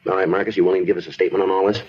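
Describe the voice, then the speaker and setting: A patient, businesslike American detective in a vintage radio crime play. His deep voice is clipped and deadpan, moving briskly on a dull old recording. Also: slightly rough. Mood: terse, patient. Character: terse, patient male police detective, businesslike. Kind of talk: 1950s radio drama acting and narration.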